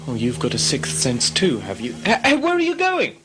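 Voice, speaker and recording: An actor playing an exasperated, sceptical traveller, chatty and sarcastic, in a sci-fi audio drama. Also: male voice, a little high, wildly swooping pitch, normal speed, background noise. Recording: music behind the voice.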